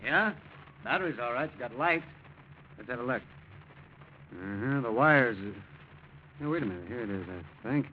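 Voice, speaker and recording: A man's voice: medium pitch, expressive, normal speed, pauses often, natural voice. An actor in a 1940s radio drama playing a brusque, nosy patrol policeman with a curt, questioning way of talking. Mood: brisk, suspicious. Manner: curt, interrogating. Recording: dull, noisy old-time radio recording.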